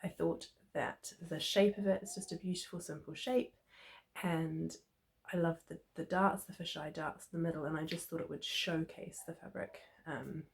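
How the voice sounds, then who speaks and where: Female sewing vlogger, New Zealand accent, lower register, a touch hoarse with a cold, informal chatty delivery. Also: fairly even intonation, moderate pace. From New Zealand, Christchurch. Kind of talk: casual sewing tutorial chat.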